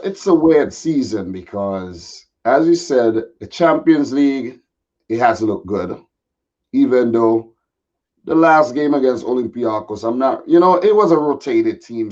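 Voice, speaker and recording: Male football guest, casual chat, slightly raspy, laid-back, many verbal fillers, muffled lo-fi recording. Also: mid-range voice, a little mellow. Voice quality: a little raspy. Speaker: guest football pundit, Manchester City fan. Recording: dull, lo-fi audio.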